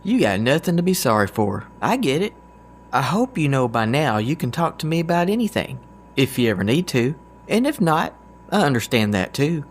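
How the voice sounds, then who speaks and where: A man's voice: medium pitch, big pitch swings, normal speed, slight background noise, natural voice. A man playing a loyal, good-natured and goofy agent with a folksy, joking manner in an audio drama. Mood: earnest, supportive. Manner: folksy, warm-hearted, joking.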